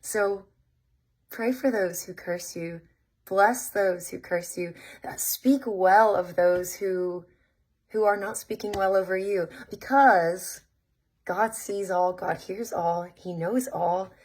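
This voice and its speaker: A woman speaking softly and with faith, giving gentle spiritual encouragement with many fillers. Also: mid-range voice, takes it slowly, poor audio.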